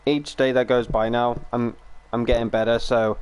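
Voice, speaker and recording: A young man talks loosely to his live stream audience, wandering from one gripe to the next. His throat is still healing, so the voice catches and cracks now and then, yet its tone stays smooth and resonant. The pitch sits at an ordinary middle height. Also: level tone, moderate pace, a little mellow. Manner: rambling, chatty, swears and grumbles. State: recovering sore throat, voice breaking. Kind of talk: casual live stream chat while gaming.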